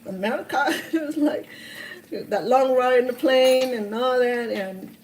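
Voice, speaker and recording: A woman's voice: high-pitched, a little slow, darkish tone, a little hiss or hum, rough recording. A woman in her sixties from Cambodia with a Cambodian accent, hosting a cooking video and telling her story in an earnest, heartfelt way with many restarts. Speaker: cooking show host.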